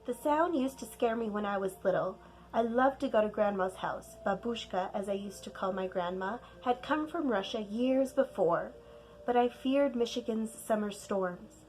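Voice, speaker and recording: A woman narrating a children's storybook aloud like a teacher, deliberate and expressive, leaning on key words for drama. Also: mid-range voice, low-quality recording. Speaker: teacher reading a picture book aloud.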